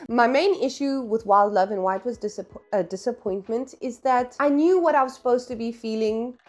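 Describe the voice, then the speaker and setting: A woman's voice: fairly high pitch, moderate pace. A woman talking casually and candidly in a book review vlog, opinionated, slangy and emphatic.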